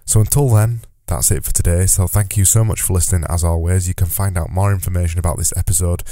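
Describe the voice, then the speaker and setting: A man's voice: deep voice, fairly even intonation, quick pace, warm tone, no room sound, studio quality. A man hosting his own podcast in a solo monologue, with a slightly nasal voice, talking earnestly and repeating words for emphasis. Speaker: podcast host. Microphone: close podcast mic.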